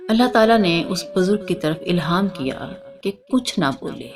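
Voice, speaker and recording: Woman reading a religious moral tale, kinda low voice, music playing behind her. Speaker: narrator. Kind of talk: scripted religious moral story narration. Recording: music under the voice.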